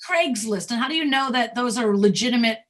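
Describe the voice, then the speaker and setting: A woman's voice: on the high side, lively intonation, a little fast. A woman interviewing in a conversational style, asking questions and recapping to check that she has understood. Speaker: interviewer, acting talent manager.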